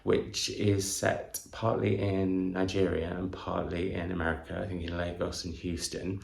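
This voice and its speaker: A man talks casually to camera about his book haul in a deep voice with plenty of vocal fry. He is effusive and wanders into asides, often questioning himself as he goes. The recording has an audible roomy echo. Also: level tone, a little slow, darkish tone. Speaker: book vlogger, channel host.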